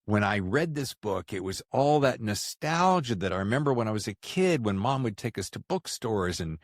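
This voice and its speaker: Middle-aged american guy hosting a podcast, deep-ish voice that swings around a lot, dry close sound. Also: sing-song, moderate pace, no echo. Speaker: podcast host. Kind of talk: casual interview chat.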